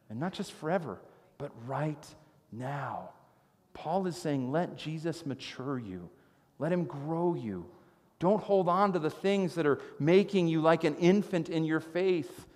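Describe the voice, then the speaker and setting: A man in his thirties preaching a sermon from a church stage, telling stories with animated gestures. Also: a little high. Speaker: pastor. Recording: long reverb of a church hall.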